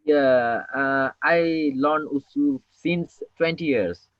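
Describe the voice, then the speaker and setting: A man's voice: fairly high pitch, slow pace, no room sound, highs cut off. A man from Nepal talks to his teacher on a video call, keeping his replies short and eager. The sound is dry and dulled by the call. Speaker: student.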